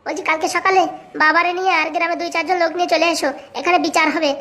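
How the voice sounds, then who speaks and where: Casting: woman as quarrelsome young cartoon wife, very high voice, rapid combative scolding, rural Bengali accent. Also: fairly even intonation, bright, forceful tone. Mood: scolding, indignant. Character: sharp-tongued, quarrelsome young village wife. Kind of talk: comic cartoon dialogue in village dialect.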